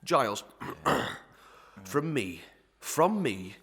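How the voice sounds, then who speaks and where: An actor playing a pompous, sly, gluttonous parish clerk who sermonises in archaic proverbs and Latin tags in a melodrama. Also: male voice, medium pitch, very animated, drawn-out words, fairly bright tone, breathy edge, full character voice. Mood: pompous, self-important. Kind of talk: Victorian melodrama audio acting.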